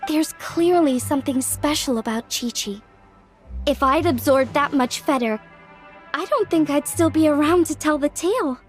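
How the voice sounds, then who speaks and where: A woman's voice: high voice, moderate pace, faint music behind, close to an everyday voice. A woman playing an earnest young woman in a fantasy video game, speaking articulately. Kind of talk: video game cutscene acting.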